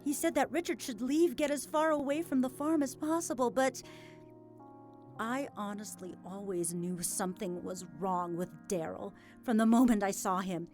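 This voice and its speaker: A woman playing a grieving, hesitant farm widow in an audio drama, speaking in a halting, emotional way. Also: medium pitch, darkish tone, soft background music, natural voice. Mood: hesitant, troubled. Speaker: voice actor.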